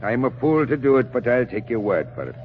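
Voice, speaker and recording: A man's voice: medium pitch, sing-song, brisk, a little hiss or hum, natural voice. An actor playing a hearty, talkative Irish policeman in a folksy, blustering manner in a 1940s radio drama. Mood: kindly. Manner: folksy, roundabout, blustering but good-natured. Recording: muffled old radio broadcast with music.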